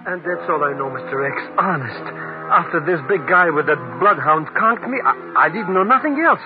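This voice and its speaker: Tinny old radio, actor as blustering comic male sidekick, high swooping voice, colloquial. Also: lively intonation, normal speed, close to an everyday voice. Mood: bewildered. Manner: colloquial, blustering, chuckling wise guy. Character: excitable comic sidekick, woman-chasing and hapless. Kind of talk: 1950s radio comedy-drama acting. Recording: tinny old radio recording, loud music.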